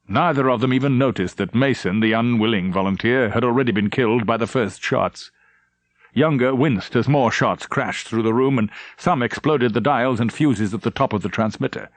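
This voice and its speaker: A man's voice: medium pitch, moderate pace, no echo. A man narrating a novel as a scripted, dramatic audiobook reading.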